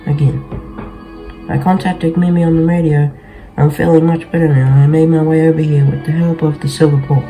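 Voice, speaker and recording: A man's voice: a little high, flat delivery, fairly quick, warm tone, low-quality recording. A young male gaming host in casual commentary, with a laid-back, slangy way of talking. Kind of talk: casual gameplay commentary, reading cutscene dialogue aloud. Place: small bedroom, indoors. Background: game music.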